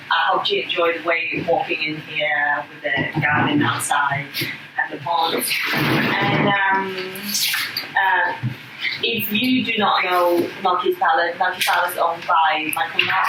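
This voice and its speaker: A woman, a wine consultant, hosting a talk at a gallery, hesitant with many uhs. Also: deep voice. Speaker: wine consultant and event host. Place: gallery room. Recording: echoey room from far off, with background noise.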